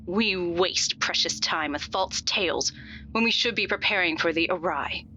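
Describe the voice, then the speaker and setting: A woman's voice: on the low side, normal speed, bright, forceful tone, highs cut off, soft background music. A woman playing a severe, impatient vampire council member in an audio drama, formal and menacing. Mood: disdainful.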